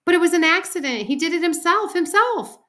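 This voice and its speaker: A woman's voice: fairly high pitch, sing-song, moderate pace, voice pushed up. A woman voicing a dramatic, whiny young girl who protests her way through a children's story. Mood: tearful protest. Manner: whining, protesting.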